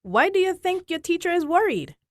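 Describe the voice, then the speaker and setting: A woman playing a patient, reassuring child therapist in a staged drama, measured and gently probing. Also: very high-pitched, big pitch swings, no room sound, clean studio sound. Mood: concerned, probing.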